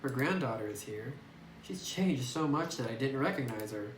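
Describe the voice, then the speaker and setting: A man's voice: mid-range voice, expressive, moderate pace, close to an everyday voice. A man voicing a lonely, earnest doll repairer in a visual novel, subdued and careful, recorded on a phone microphone. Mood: confiding. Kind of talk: read-aloud visual novel dialogue. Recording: roomy, noisy distant phone microphone.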